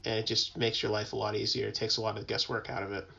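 A man talking casually as a guest, explaining things informally and haltingly as he thinks aloud. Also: lowish voice, monotone, vocal fry, sharp tone, slightly rough, lo-fi sound. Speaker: tugboat mariner, podcast guest.

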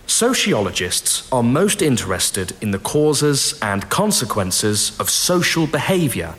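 A man playing a keen university lecturer, addressing students formally and deliberately in an audio drama. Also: mid-range voice, a bit bright. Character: keen male university sociology lecturer welcoming first-year students.

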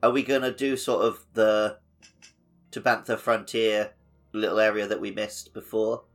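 A man's voice: fairly low pitch, level tone, moderate pace, faint music behind. A man co-hosting a video game podcast in casual conversation. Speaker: podcast co-host.

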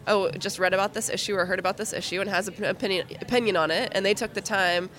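A woman's voice: medium pitch, fairly even intonation, fast, a bit bright. Woman, interview host, friendly, affirming, thoughtful, mid-range voice.

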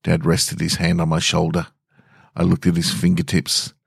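A man's voice: sits low, monotone, moderate pace, vocal fry, slightly rough, no echo, clean studio sound. An Australian man hosting a podcast, reading short scripted stories and talking to listeners. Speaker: podcast host and story reader. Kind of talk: scripted narration of short stories with casual host remarks.

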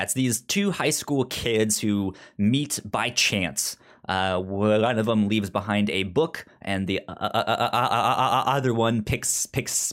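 A man with a lowish voice hosts a relaxed podcast chat. He talks easily, packing in fillers and often catching on the first sound of a word, repeating it several times before it comes out. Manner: frequent stutters and sound repetitions. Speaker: podcast host.

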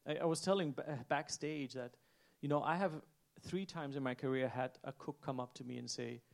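A man with a gravelly, creaky voice speaks on an event stage. He is earnest and halting, restarting as he searches for words. His pitch is mid-range. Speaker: head chef on a panel. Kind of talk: reflective panel talk. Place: event stage before an audience.

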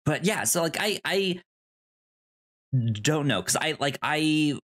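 Slow, halting man chatting about films. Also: on the high side, expressive, fairly bright tone. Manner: hesitant, stammering, halting delivery. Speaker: podcast host. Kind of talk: casual film discussion.

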